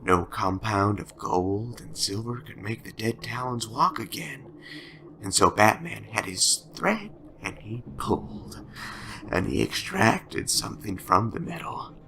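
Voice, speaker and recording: A man voicing a sinister, taunting, lonely madman in an audio drama, with a breathy near-whisper and slow, teasing, theatrical delivery. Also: on the low side, lively intonation, pushed and bright, a little hiss or hum, full character voice, poor audio. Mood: ominous storytelling. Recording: background music under the voice.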